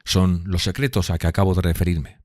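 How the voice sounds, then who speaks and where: A man voicing an earnest, courteous young gentleman in an audiobook, with a polite and sincere manner. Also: low voice, little pitch movement, fairly quick, no room sound, clean studio sound. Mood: sincere, subdued. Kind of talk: audiobook character reading.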